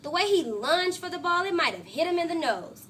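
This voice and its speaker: Storybook role: woman playing a cocky, impatient young girl, emphatic and bright, swinging pitch. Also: sing-song, brisk, slight background noise, full character voice. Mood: scornful. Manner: emphatic, boastful.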